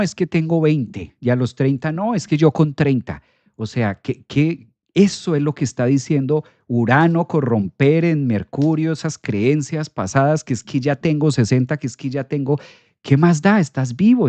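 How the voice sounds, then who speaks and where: Earnest man with a warm, dry voice. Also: on the high side, dry recording. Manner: earnest, explanatory, quotes self-doubt in a livelier voice. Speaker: astrologer. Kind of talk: explaining astrology to camera.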